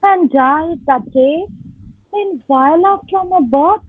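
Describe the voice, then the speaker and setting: A woman's voice: extremely high pitch, slow pace, no echo. A woman playing an earnest young woman disguised as a page boy in a dramatic Shakespeare reading. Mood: earnest, moved. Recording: tinny phone-like audio under guitar music.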